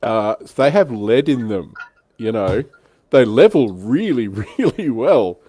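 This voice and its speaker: A man's voice: medium pitch, sing-song, takes it slowly, mellow, breathy edge. A middle-aged man hosting a casual hobby livestream, chatting informally with a joking manner and mild swearing. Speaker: livestream host.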